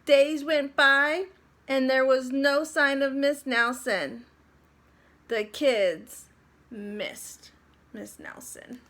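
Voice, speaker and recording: A woman reading a children's story aloud, with deliberate phrasing and expressive narration. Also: high voice.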